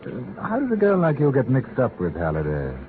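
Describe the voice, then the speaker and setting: A man's voice: medium pitch, wildly swooping pitch, a little fast. An actor playing a brash, flirtatious, pushy male crook in a radio suspense drama, talking casually. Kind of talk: 1950s radio drama acting. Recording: noisy, tinny old radio recording.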